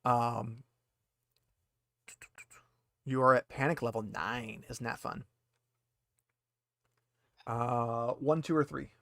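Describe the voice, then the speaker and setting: A man's voice: mid-range voice, steady tone, slow, vocal fry, long pauses, fairly bright tone, no room sound, clean studio sound. A man acting as game master, casually explaining the rules of a tabletop roleplaying game.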